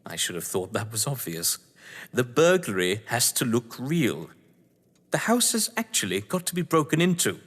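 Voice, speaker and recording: An actor playing an urbane, cunning English crime novelist in an audio drama, pompous, condescending and wordy. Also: male voice, medium pitch, sing-song, moderate pace, a bit bright, husky. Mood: coolly insistent. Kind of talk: theatrical audio drama acting. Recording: echoey hall-like reverb.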